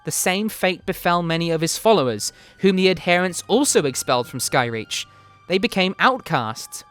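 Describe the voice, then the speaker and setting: Male narrator for a dramatic fantasy reading, slightly high voice, deliberate delivery, music underneath. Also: animated, fairly bright tone, smooth. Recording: music behind the voice. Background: background music.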